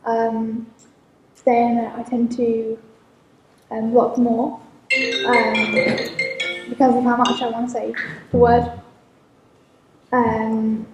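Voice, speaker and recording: Halting woman speaking from an echoey hall. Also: fairly high pitch, monotone, lo-fi sound, music in the background. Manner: halting, many ums and pauses. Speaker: audience member. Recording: echoey hall mic from far off.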